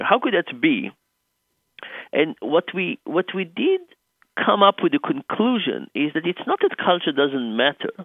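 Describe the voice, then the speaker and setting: An Israeli-accented professor, a man, explains his research on a radio show, coming through a dry, tinny phone line. His voice is gravelly and he thinks aloud as he goes, clearing his throat between ideas. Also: on the high side, dry recording. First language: Hebrew. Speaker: author and professor guest.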